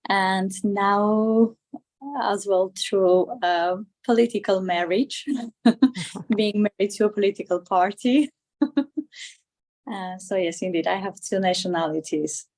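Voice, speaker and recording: A woman, answering conversationally as an interview guest, haltingly, often repeating and rephrasing her words. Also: mid-range voice, darkish tone. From Armenia. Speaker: interview guest, political candidate.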